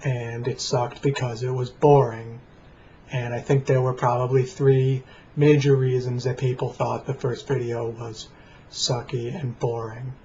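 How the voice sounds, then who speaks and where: An American man rambling casually and deadpan to camera in a plain, flat voice. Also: medium pitch, unhurried. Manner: deadpan, dry. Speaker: vlogger. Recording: dull lo-fi webcam sound, faint noise.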